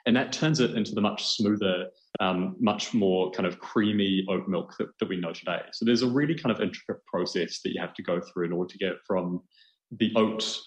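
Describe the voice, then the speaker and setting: A New Zealand man, a journalist guest, explains things conversationally and with enthusiasm. His voice is fairly low, and the recording is echoey and roomy. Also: fairly quick, muffled recording. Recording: roomy, echoing sound.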